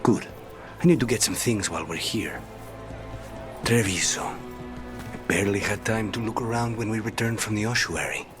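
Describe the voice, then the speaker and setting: An actor playing a suave, dry-witted male assassin in a video game, speaking in a measured, understated way. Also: fairly low pitch, over music, close to an everyday voice. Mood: calm, reflective. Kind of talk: video game dramatic voice acting.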